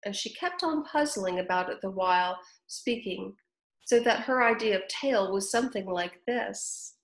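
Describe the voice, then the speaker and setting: A woman reading a children's story aloud with chatty asides to young listeners, in an animated way. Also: mid-range voice, moderate pace. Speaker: storybook reader. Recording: roomy echo.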